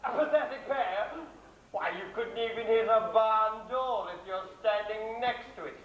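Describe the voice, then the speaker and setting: A man's voice: high-pitched, measured pace, a bit bright, lo-fi sound, a little hiss or hum. A man playing a scheming, boastful wicked-uncle villain in an amateur stage pantomime, recorded from far off in a theatre. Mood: mocking. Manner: mocking, boastful, wheedling. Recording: roomy theatre sound from far off.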